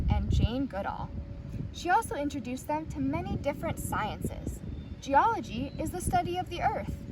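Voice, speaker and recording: High, animated woman reading a children's story. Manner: expressive storytelling. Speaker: teacher reading a picture book aloud. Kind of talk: children's read-aloud narration. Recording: distant mic, loud outdoor ambient noise.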